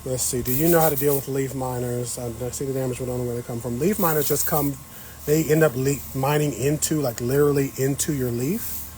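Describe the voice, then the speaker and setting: A man's voice: mid-range voice, steady tone, a little fast, mellow, a little hiss or hum. A man hosting a casual gardening livestream, chatting with viewers as he works the soil on an outdoor patio. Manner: chatty, talks to viewers while working the soil. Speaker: host.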